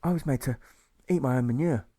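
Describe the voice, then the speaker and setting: A man's voice: medium pitch, a little fast, warm tone, no echo, clean studio sound, pitched above the performer's own voice, close to an everyday voice. A man voicing a meek, tearful, submissive coward in an audiobook, resigned and pitiful. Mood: miserable.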